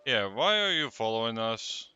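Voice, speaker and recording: A man streaming a video game, giving brief, casual, low-key reactions as he plays. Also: lively intonation, normal speed, sharp tone, clean tone, dry recording, lo-fi sound, faint music behind.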